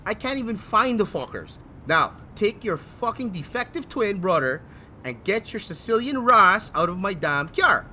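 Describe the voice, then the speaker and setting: A man's voice: sits high, sing-song, moderate pace. A man playing an angry, foul-mouthed cab driver who is easily exasperated, in a comedy audio drama. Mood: furious. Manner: explosive, threatening, swearing. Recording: car noise over the voice.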